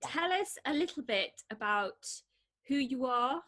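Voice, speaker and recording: A woman with a fairly high voice hosts an interview with an old friend. She sounds warm and chatty and laughs easily as she asks her questions. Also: animated, measured pace. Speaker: interview host.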